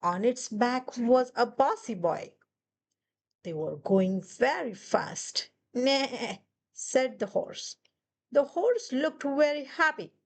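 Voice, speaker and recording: A woman's voice: on the high side, expressive, takes it slowly. A woman reading a children's picture book aloud as a storyteller. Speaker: storybook reader. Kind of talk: children's read-aloud narration.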